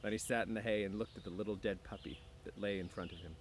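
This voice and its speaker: A man's voice: lowish voice, steady tone, fast. Male teacher, outdoors with background noise. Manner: frequent throat clearing. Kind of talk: casual chat to students and book read-aloud. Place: outdoors near woods.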